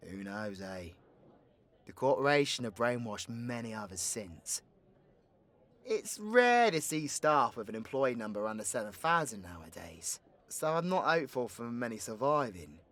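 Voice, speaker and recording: A man's voice: medium pitch, very animated, moderate pace, fairly bright tone. A British man playing a cocky, foul-mouthed, teasing supervillain in an audio roleplay, with crude slangy banter. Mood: quiet, grim. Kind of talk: ASMR audio roleplay acting.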